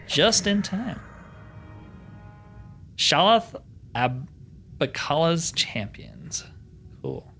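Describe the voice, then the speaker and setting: A man with a mid-pitched voice hosts a video game playthrough. He talks casually, thinking aloud in short, informal remarks. Music plays behind his voice. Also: a bit bright. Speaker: gaming host.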